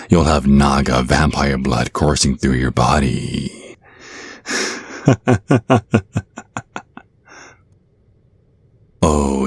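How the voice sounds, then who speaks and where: Man voicing a very deep, husky vampire naga. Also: no room sound, heavily acted. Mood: gloating menace. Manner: slow, deliberate, menacingly polite. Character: sinister male vampire naga kidnapper, coolly sadistic. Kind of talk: ASMR roleplay acting.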